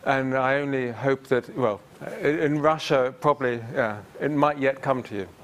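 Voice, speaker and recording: A man's voice: medium pitch, normal speed. A middle-aged man giving a lecture, answering questions from the audience in a hesitant, filler-heavy way with self-deprecating humour. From England. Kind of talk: public lecture Q&A. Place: large lecture theatre with audience.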